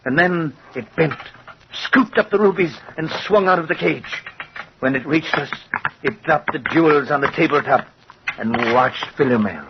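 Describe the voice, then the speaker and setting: An actor playing a cruel, sardonic middle-aged doll-maker in an old radio horror drama, with a theatrical, dramatic delivery. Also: male voice, fairly high pitch, normal speed, lightly acted. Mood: intense, haunted. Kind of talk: vintage radio horror acting. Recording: muffled, noisy old radio recording.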